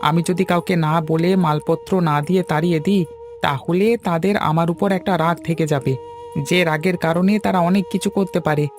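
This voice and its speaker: A man's voice: fairly high pitch, steady tone, a little fast, soft background music, natural voice. A man voicing a weary, worried middle-aged shopkeeper father in a cartoon, in a plaintive, fretful way. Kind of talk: cartoon dialogue.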